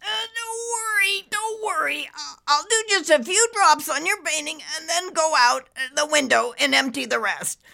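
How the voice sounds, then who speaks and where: Woman doing a high, straining little storm cloud. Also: normal speed, creaky, pushed and bright, exaggerated voice. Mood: nervous, straining. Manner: strained, grunting, squeaky.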